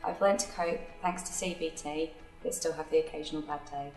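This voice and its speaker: Brief: woman, 20s, English, flat low-ish delivery, roomy recording. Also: fairly quick, faint music behind. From England. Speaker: employee sharing her story. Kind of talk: scripted testimonial.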